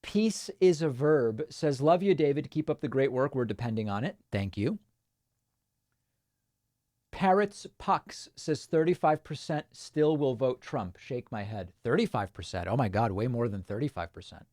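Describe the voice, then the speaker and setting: A man whose first language is Spanish, born in Argentina, hosts a live political stream in an offhand, chatty way, reading out viewer messages and tossing in quick replies. His voice sits at an ordinary middle pitch for a man. The sound is dry and close, with no room echo. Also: lively intonation, a little mellow. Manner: casual, offhand, frequent ums and uhs. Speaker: political commentary host. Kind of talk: livestream chat, reading viewer comments.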